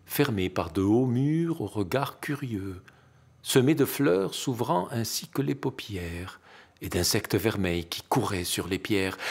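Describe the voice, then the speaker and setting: A man reciting literary poetry in an expressive, theatrical, declamatory manner with dramatic pauses and a husky, gravelly voice. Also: lowish voice. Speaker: poetry reader.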